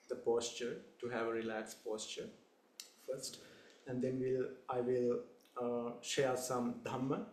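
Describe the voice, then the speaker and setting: A young man from Sri Lanka, a Buddhist monk, leading a guided meditation in a calm, unhurried way with short phrases. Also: medium pitch, roomy sound, a little hiss or hum.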